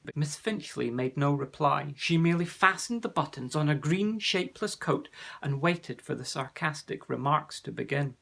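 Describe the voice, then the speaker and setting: A male narrator reading a children's storybook aloud, with a gravelly, husky voice. His pitch moves a lot as he tells the story expressively. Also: lively intonation, moderate pace, fairly bright tone.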